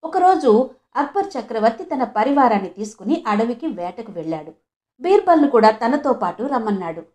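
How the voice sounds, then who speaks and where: A woman narrates a folk tale. She is expressive and conversational, often turning to address the listener directly. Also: on the high side, moderate pace. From Andhra Pradesh, India. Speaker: storyteller, channel host. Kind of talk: expressive moral storytelling.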